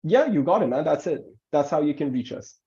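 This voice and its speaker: A man's voice: a little high, fairly quick. Man on a muffled video call. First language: Farsi. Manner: animated, repeats words and restarts when emphasizing. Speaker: immigration lawyer, guest. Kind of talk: webinar Q&A answers.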